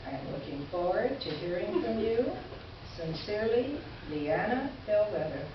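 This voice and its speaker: Actress as ironic woman columnist, formal measured delivery, stage letter reading, very echoey hall sound, far from the mic. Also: medium pitch, very animated, close to an everyday voice. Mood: pointed, wry. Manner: formal, measured, pointed irony. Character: wry, articulate woman columnist, politely indignant letter writer. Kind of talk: staged reader's theater, reading letters aloud. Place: indoor live stage presentation. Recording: echoey room, distant mic, muffled, noisy.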